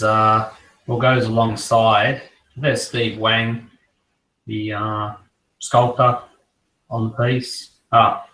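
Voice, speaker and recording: A croaky-voiced man fighting off a cold talks casually and at length, his tone staying flat and level. He sits a little back from the microphone in an echoey room. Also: medium pitch, recorded from a distance. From Australia. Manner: relaxed, rambling collector talk. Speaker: co-host. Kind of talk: casual chat.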